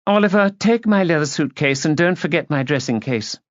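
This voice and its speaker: Man voicing haughty aristocratic lady, pitched up. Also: expressive, a little fast, no echo, muffled recording, close to an everyday voice. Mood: haughty, indifferent. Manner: clipped, condescending, commanding. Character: haughty, imperious aristocratic woman, cutting and disdainful. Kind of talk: audiobook character voice.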